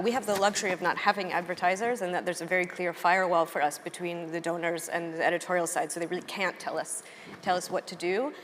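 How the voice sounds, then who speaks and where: A woman's voice: fairly low pitch, fairly bright tone. An American woman journalist speaking conversationally on a panel, hedging and thinking aloud as she goes. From United States. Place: live panel event in a hall. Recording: echoey hall, faint background noise.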